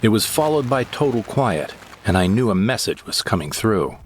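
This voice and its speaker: A man's voice: on the low side, sing-song, normal speed, a little hiss or hum. A man narrating a first-person audio drama story in a dramatic storytelling manner. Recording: narration over loud score.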